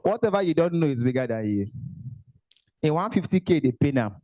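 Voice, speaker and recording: Young nigerian guy hyping up a career summit crowd, lively, jokes with the audience, voice sits a bit high. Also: a little fast. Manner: animated, mixes Pidgin asides, banters with the audience. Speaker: motivational speaker. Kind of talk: motivational talk at a career summit. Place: event hall.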